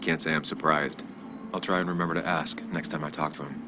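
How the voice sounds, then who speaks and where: A man playing a weary, dry-witted journalist in a video game, speaking in a low-key, deadpan way. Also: a little fast, noisy background. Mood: dry, casual. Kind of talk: naturalistic video game dialogue.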